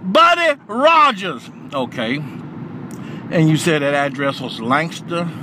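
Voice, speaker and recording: A man's voice: a little high, unhurried. Polite guy on customer service call, kinda hesitant, phone audio with some car noise.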